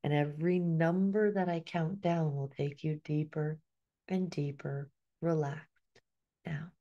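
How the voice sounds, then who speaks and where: Slow, soothing hypnotist woman. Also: deep voice, steady tone, mellow, muffled recording. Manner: slow, soothing, drifting into whispers. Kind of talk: guided hypnosis session.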